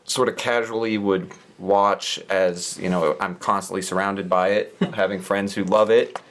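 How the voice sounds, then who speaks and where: A man's voice: deep voice, fairly even intonation, unhurried. A man talking casually as a podcast guest, easygoing and often with a light laugh in his words.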